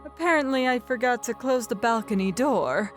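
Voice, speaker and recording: A woman voicing a composed regal pony ruler in a cartoon fan story, measured, diplomatic and a little awkwardly hesitant. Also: on the high side, animated, soft background music, voice pushed up, lightly acted. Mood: sheepish. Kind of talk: cartoon fan fiction character reading.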